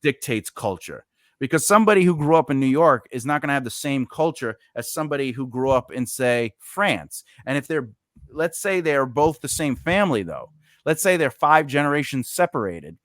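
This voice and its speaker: A man's voice: mid-range voice, expressive, normal speed, fairly bright tone, no echo. A man hosting a casual podcast chat, rambling with lots of fillers and restarts. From United States. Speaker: podcast host.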